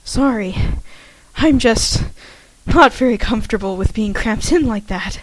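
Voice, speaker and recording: Woman doing a shy young female student, soft, wry, slightly nervous, storybook reading, dry recording. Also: a little high, lively intonation, unhurried, no room sound, poor audio.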